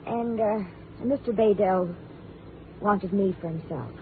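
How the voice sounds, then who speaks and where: An actress playing a nervous, frightened widow with halting, pleading speech in 1950s radio melodrama style. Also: female voice, mid-range voice. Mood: nervous, defensive. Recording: tinny, faintly noisy 1950 radio broadcast.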